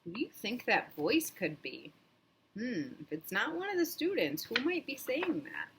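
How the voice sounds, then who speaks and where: A woman's voice: on the high side, wildly swooping pitch, normal speed, noisy background. A woman reading a storybook aloud to young children, narrating expressively in an interactive, explanatory way.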